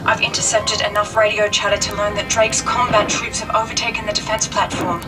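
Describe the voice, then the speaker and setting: A woman's voice: medium pitch, flat delivery, fairly quick, far from the mic, lightly acted. A woman playing an earnest, urgent spy ally in a video game, briefing an agent over comms. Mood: concerned, briefing. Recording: loud music and engine noise behind.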